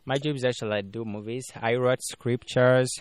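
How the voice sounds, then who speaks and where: A man's voice: mid-range voice, steady tone, a little fast, dry recording. A young man from Uganda, a filmmaker guest, chatting casually in an interview, with lots of fillers and restarts. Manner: rambling, frequent fillers and restarts.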